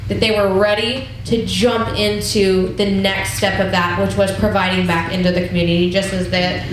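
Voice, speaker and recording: A woman's voice: medium pitch, a little hiss or hum. A woman in her thirties leading a church women's group, earnestly sharing a personal testimony in a conversational way, with frequent ums. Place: church hall. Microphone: wireless mic that cuts out. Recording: very echoey church hall.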